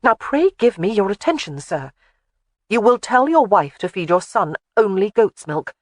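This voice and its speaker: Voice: mid-range voice, big pitch swings, moderate pace, no room sound, studio quality, voice pushed up, natural voice. The audiobook narrator voicing a protective young woman who scolds firmly. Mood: scolding. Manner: scolding, firm. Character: fierce, protective young woman caring for a baby.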